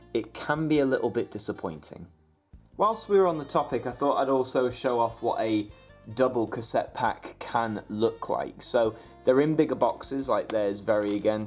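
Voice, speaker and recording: A British man presenting a casual vlog guide to camera in a chatty, informal, demonstrative way. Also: medium pitch.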